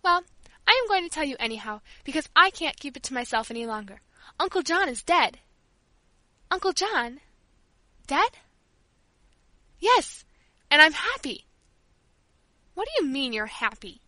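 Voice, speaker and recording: A woman reading aloud plays an impulsive little girl who rushes her words in eager excitement. The voice sits very high and bright, recorded close and dry like a storybook audiobook. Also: dry recording, exaggerated voice. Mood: excited. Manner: eager, breathless, pleading. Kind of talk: audiobook character voice.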